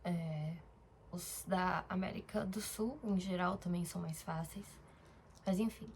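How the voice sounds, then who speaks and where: A woman's voice: lowish voice, unhurried, creaky, a little mellow, a little hiss or hum. A young woman from Brazil talking casually in a diary-style vlog, rambling, with fillers and self-corrections. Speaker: vlogger.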